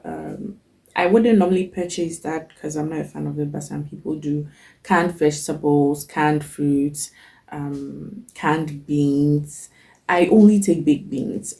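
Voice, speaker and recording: Woman host, young, slow and gravelly, stuffy with a cold, chatty list-reading. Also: deep voice, warm tone. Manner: chatty, list-like, frequent ums. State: has a cold. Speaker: cooking channel host. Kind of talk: casual talk to camera.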